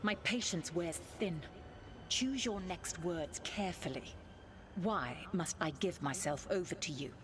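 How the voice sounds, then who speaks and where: Low-voiced imperious ancient elven goddess, played by a woman. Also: noisy background, close to an everyday voice. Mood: imperious, challenging. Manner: formal, haughty, deliberate, commanding. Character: ancient imperious elven goddess spirit, proud, stern, testing petitioners. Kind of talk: dramatic video game acting.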